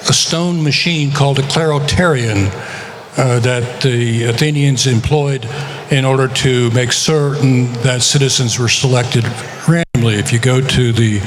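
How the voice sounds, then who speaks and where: A man's voice: mid-range voice, a little hiss or hum. Man speaking while losing his voice. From United States. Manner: measured, with many pauses and filler words. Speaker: author. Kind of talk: conference talk. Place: conference session.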